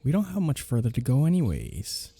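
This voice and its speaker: Husky, teasing male vampire, acted by a man. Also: mid-range voice, huge pitch swings, fairly quick, dark tone, no echo, heavily acted. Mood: exasperated, taunting. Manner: teasing, sarcastic, swearing banter. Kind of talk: ASMR roleplay audio drama, one side of dialogue. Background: birdsong, woodland sound effects.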